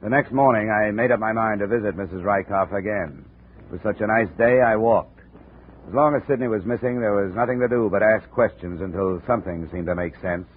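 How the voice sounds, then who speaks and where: An actor playing a tough, terse insurance investigator in a 1950s radio detective drama, clipped and matter-of-fact. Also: male voice, lowish voice, close to an everyday voice. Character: tough, terse male insurance investigator narrating his case. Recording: muffled, noisy 1950 radio recording.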